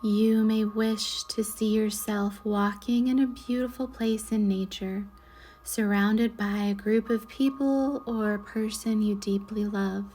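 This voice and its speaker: A woman leading a guided meditation in a soothing, measured way, with soft breathy endings to her phrases. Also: mid-range voice, steady tone, slight background noise. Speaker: guided meditation leader, Reiki instructor. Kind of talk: slow guided meditation narration.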